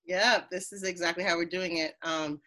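A woman from Queens, New York, a behavioral therapist who hosts the interview, talking in a warm and effusive way. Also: fairly low pitch, steady tone, normal speed. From Queens, New York, USA. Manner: warm, effusive, laughs often. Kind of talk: casual interview.

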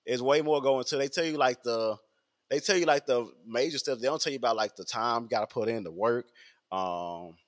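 A man's voice: mid-range voice, a little fast. A man, talking in a rambling casual podcast monologue full of fillers and repeats. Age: 20s.

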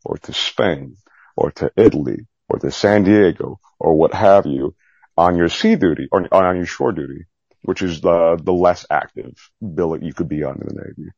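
A man with a strikingly deep, husky voice talks candidly as a guest in a casual interview. He rambles in a self-deprecating, lightly profane way. The recording is somewhat dull and muffled. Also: moderate pace, darkish tone, no room sound. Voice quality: low, gravelly-husky voice. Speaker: podcast guest, Navy veteran.

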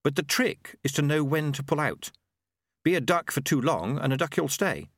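A man voicing a brisk, knowing, sardonic woman in an audiobook, with a dry, sarcastic manner. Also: a little high, expressive, fairly bright tone, dry recording, pitched above the performer's own voice. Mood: explaining briskly. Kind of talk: audiobook character acting.

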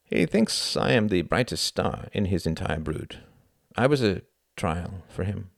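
A man's voice: deep voice, big pitch swings, a little slow, vocal fry, no echo, studio quality, full character voice. A man voicing an earnest, brooding young German man with a wistful, heartfelt manner.

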